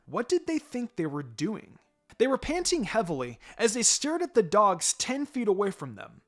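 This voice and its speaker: A narrator reading fan fiction as a scripted story, with music behind. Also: medium pitch.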